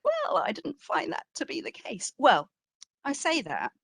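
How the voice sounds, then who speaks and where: A woman's voice: sits high, wildly swooping pitch, measured pace, creaky, a bit bright, breathy edge, no echo. A woman speaking as an exhibition curator, answering informally and reflectively at a history talk, with conversational emphasis and frequent qualifications.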